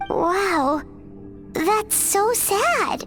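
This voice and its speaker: A woman voicing a small, childlike fairy companion in a video game, excitable and sympathetic, with a squeaky voice. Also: very slow, full character voice. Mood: sad, sympathetic. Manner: speaks of herself in the third person. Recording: loud game music behind.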